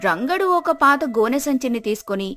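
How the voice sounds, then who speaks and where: High-voiced woman narrating a story. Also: lively intonation, moderate pace, pushed and bright, faint music behind. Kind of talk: scripted children's story narration.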